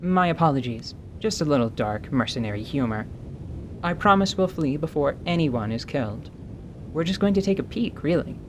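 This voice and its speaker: A woman plays a female mercenary leader in a fantasy radio drama. She is deliberate and commanding, with dry, dark humor delivered deadpan. Noticeable noise sits behind the voice. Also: low-pitched. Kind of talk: radio theatre character acting.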